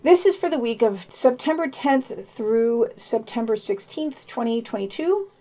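A woman's voice: on the high side, lively intonation, measured pace, dark tone. Woman reading a podcast news digest. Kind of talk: scripted news reading with casual asides.

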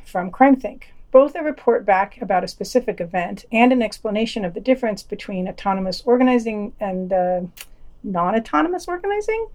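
A woman's voice: mid-range voice, a little mellow. A woman hosting a podcast, reading a scripted news digest with quotes and commentary in a dry, wry manner.

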